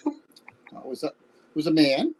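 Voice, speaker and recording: Actor as older spouse in a stage play: very animated intonation, wry, bantering, conversational, fond. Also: medium pitch, takes it slowly, breathy edge, heavily acted, rough recording. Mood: recalling. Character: wry, teasing older spouse reminiscing fondly. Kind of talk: staged reading of a short play.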